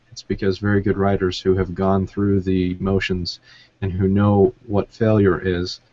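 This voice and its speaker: Explanatory male host, lowish dry voice. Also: darkish tone, no echo, muffled recording. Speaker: podcast host. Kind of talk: moderated discussion, advice to writers.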